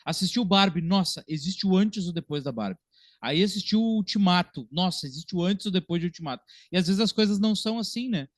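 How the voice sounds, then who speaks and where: A man's voice: sits high, animated, fast talker, dry recording, studio quality. A man with a southern Brazilian accent in a casual film discussion as a podcast panelist, talking in an informal, slangy way.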